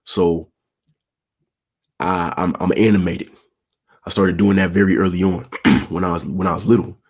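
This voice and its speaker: A young man with a very deep, gravelly, husky voice that stays flat and monotone. He gives dating advice to his viewers in an easygoing, conversational way and keeps checking in with the listener as he talks. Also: moderate pace. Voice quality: low, monotone, raspy voice. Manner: laid-back, peppered with "you feel me", talks with his hands. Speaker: channel host giving dating advice. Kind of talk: casual advice talk answering viewer questions.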